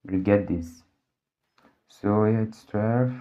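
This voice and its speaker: A man with a low voice, a native Portuguese speaker, talks through a physics problem very slowly. He thinks aloud and restarts his sentences often. The audio is dull and lo-fi. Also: warm tone. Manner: halting, thinks aloud with many restarts and fillers. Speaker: physics tutor. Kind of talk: working through a problem on a board.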